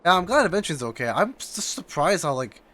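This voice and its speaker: Animated man reacting to a game. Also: a little high, fast talker. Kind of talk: casual reaction commentary.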